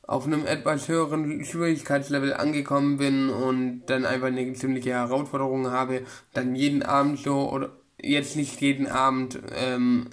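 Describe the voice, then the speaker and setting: A young man in his early twenties talks casually into a desk webcam in a small home room. He rambles along with frequent fillers and restarts, in a mid-pitched voice. His intonation stays quite flat and even. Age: about 21. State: getting over a cold. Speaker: vlogger. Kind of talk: casual chat. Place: small room with bookshelf, indoors. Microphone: webcam at desk, close.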